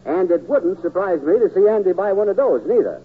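Cast a self-important, fussy fellow from old radio comedy. His high voice swoops up and down as he primly delivers grand words. The recording is thin and old. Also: animated, fairly quick, slightly rough, phone-quality sound. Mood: earnest, gossipy. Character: pompous, fussy man mangling fancy words. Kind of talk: 1940s radio comedy acting.

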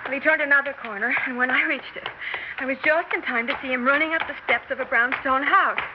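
Actress as a high-voiced, determined would-be star. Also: female voice, fairly quick, heavy background noise, lightly acted. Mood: earnest recounting. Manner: dramatic, insistent storytelling. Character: determined, excitable young would-be actress, a woman. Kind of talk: 1960s radio drama acting. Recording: dull old radio broadcast, music behind.

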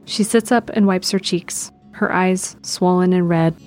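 A woman's voice: lowish voice, fairly even intonation, a little slow, a little mellow, faint music behind. A woman narrator reading scripted present-tense narration for an audio drama.

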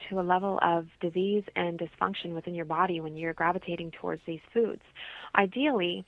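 A woman's voice: on the low side, dry recording. A woman explaining things as an interview guest, heard over a telephone. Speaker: wellness consultant, radio guest. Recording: tinny narrowband phone line.

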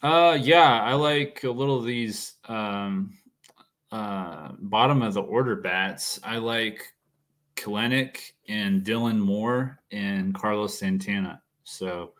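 A man's voice: fairly low pitch, slow pace, fairly bright tone. A man co-hosting a casual sports podcast, talking hesitantly with plenty of fillers. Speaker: podcast co-host.

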